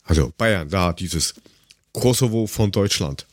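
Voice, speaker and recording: A man talks as a podcast host with very animated intonation. He rambles sarcastically through casual, comedic stories. The sound is dry, with little room on it. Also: sits low, unhurried, no room sound. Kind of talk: casual comedic chat and storytelling.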